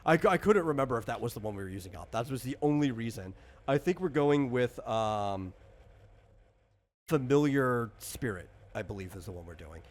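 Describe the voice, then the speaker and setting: A man's voice: mid-range voice, expressive, moderate pace. Casual male game master, mid-range voice, patient and slightly rambling rules explainer for a tabletop session. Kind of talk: casual explanatory chat during character creation.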